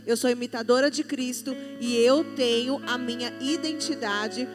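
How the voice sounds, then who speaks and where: A woman speaks with assertive conviction on a panel, in a preachy manner. Her voice is high. Music plays softly under her. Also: normal speed. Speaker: panelist at church youth service. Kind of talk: conversational church panel talk.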